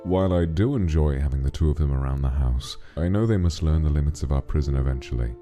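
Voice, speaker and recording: An actor voicing a big, gentle, fatherly monster king who muses solemnly and tenderly in a comic dub. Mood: pensive. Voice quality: deep, mellow. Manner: measured, musing, tender. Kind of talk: scripted narration in a comic dub. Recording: music behind the voice.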